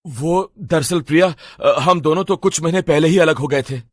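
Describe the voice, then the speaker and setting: A worried young man in a cartoon drama, played by a male voice actor. The voice is fairly high for a man and very dry. He pleads urgently, halting now and then as he explains himself. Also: no echo, close to an everyday voice. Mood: worried, pleading. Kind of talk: dramatic cartoon story voice acting.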